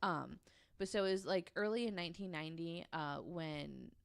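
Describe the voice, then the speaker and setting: A woman's voice: on the low side, normal speed, creaky, no echo, clean studio sound. A woman telling a researched story on a podcast in a casual, conversational way, with an informal, hesitant delivery.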